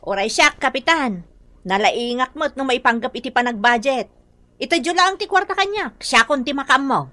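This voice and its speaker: A woman's voice: high voice, animated, normal speed, bright, forceful tone. A woman with a Filipino accent playing an eager village budget officer in a radio drama.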